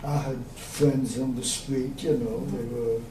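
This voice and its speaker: Man in his nineties, halting speech with many fillers, distant mic, faint hiss. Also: medium pitch, steady tone, warm tone, roomy sound. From Austria. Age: 90s. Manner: slow and hesitant, many pauses and fillers. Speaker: interviewee. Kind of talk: oral history interview. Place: room at a community center.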